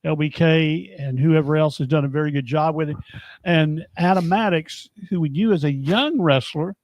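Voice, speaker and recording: A man, co-hosting a wrestling talk show and tossing out teasing asides. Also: a little high. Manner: joking, teasing, quick asides. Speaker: co-host and wrestling commentator. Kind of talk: casual chat.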